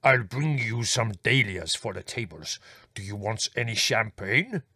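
Husky, rumbling Greek man. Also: expressive, a little slow, pushed and bright, no room sound, studio quality, full character voice. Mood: reassuring. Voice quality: gravelly, rumbling. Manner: broken English with extra plural endings. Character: big rumbling Greek man, helpful and eager to please.

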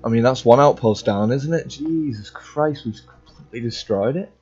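A man's voice: medium pitch, moderate pace, a little mellow. A young man improvising casually in character in a video game roleplay. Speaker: gaming roleplayer. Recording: dull lo-fi game mic, music behind.

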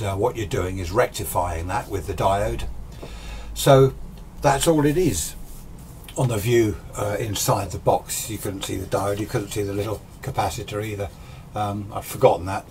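Older british guy in his 60s, lowish voice, rambling hobby tech explainer, bit of background noise. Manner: casual, rambling, self-deprecating. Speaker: amateur radio hobbyist presenter. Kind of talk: informal technical explanation. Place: small indoor home radio workshop.

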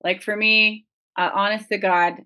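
A woman's voice: medium pitch, steady tone, a little slow, bright, forceful tone. A woman guest on a podcast, talking frankly and earnestly about cooking with a friend. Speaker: guest, TV presenter. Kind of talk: casual interview chat.

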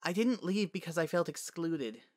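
A feisty young unicorn girl from a storybook fantasy, defiant yet easily wounded, acted out by a man. The voice is lifted high and bright, with a dry, close sound. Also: expressive, measured pace, no echo. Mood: soft, wistful.

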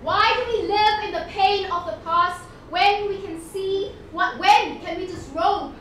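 A woman with a South African accent performing spoken-word poetry in a dramatic, deliberate, emphatic way, with pauses and repetition. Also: extremely high pitch, fairly bright tone. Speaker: poet performer. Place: live event in an echoey indoor venue. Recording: echoey room, distant mic, clear background noise.

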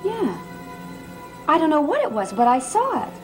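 A young actress playing an evasive, unsettled drifter with a hesitant, vague manner in a soap opera. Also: female voice, sits high, huge pitch swings, normal speed. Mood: puzzled, uneasy. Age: young adult. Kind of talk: television soap opera acting. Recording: vintage TV soundtrack with loud music.

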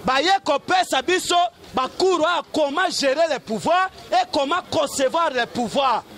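A middle-aged Congolese man with a very high, strained-up pitch delivers a street political speech. He is declamatory and emphatic, often raising his voice to a shout. He speaks outdoors into a handheld microphone. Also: measured pace, a little hiss or hum. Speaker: political activist, street orator. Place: outdoors on a street among onlookers.

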